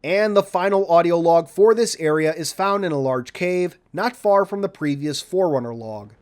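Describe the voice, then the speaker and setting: A man reading a scripted video game walkthrough in a measured, orderly, instructional way. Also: a little high, a little mellow, clear, resonant tone. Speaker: video game guide narrator. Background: background music.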